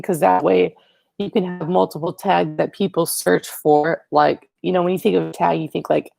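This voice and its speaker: A teenage boy hosting a casual livestream, rambling and correcting himself as he chats to viewers, his voice raspy today. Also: high voice, darkish tone, no echo. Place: living room, indoors. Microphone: earbud headset mic, webcam.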